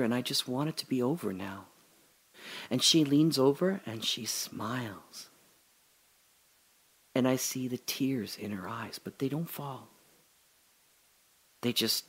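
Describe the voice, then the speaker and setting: An actor playing a dying older father, telling his memories in a halting, breathy dramatic monologue that drops to whispers. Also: medium pitch, low-quality recording. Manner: halting, breathy, emotional, many pauses and restarts. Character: dying old father recalling his daughter's birth.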